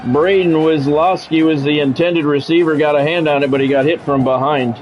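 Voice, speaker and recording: Higher-pitched American man calling a football game. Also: steady tone, a little fast. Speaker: game streamer, play-by-play commentator. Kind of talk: live sports-style commentary mixed with casual chat. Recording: dull lo-fi sound with music behind.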